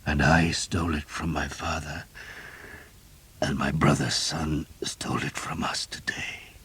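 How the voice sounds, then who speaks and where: A man's voice: extremely low pitch, steady tone, slow pace, dark tone, husky, exaggerated voice. A man voicing a dying older male priest who speaks in a weak, strained whisper. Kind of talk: audiobook character reading.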